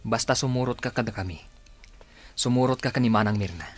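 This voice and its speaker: A man playing an earnest, urging outlaw in an Ilocano radio drama, speaking deliberately in a husky, breathy voice. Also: medium pitch, no echo, lightly acted. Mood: firm, urging. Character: earnest male member of an outlaw group, urging and devoted.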